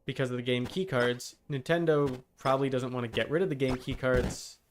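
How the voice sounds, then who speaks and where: A man's voice: mid-range voice, steady tone, fairly quick, noisy background. A man live streaming a game, talking casually and bluntly with exasperated, sweary asides. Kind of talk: casual gaming stream chat. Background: game music.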